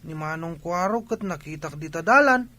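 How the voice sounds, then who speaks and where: A man's voice: on the high side, expressive, fairly quick, sharp tone, slight background noise. A man with a Filipino accent playing a cheeky, inquisitive village boy in a comedy radio drama.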